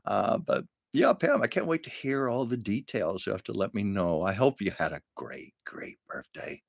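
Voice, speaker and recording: A man's voice: on the low side, big pitch swings, normal speed, gravelly, no room sound, clean studio sound. A man hosting his own livestream from home, chatting casually with viewers and laughing often. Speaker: livestream host. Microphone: camera on a livestream setup.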